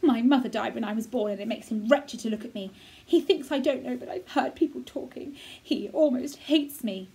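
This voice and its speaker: A woman voicing a sickly, bitter bedridden young boy who speaks slowly and plaintively. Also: a little high, mellow, natural voice. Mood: sullen.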